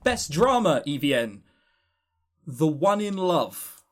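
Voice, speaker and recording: A man's voice: fairly high pitch, sing-song, takes it slowly. A man co-hosting a podcast in casual chat, rambling along with sarcastic, dry humour. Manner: swears casually. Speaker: podcast co-host. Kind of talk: casual chat running through award lists.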